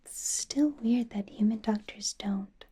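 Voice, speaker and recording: A woman playing an anxious, awkward young female werewolf medical student in a soft, breathy, whispered ASMR roleplay, speaking hesitantly with stammers. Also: medium pitch, unhurried. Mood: gentle, hesitant. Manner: hesitant, stammering, flustered and gentle.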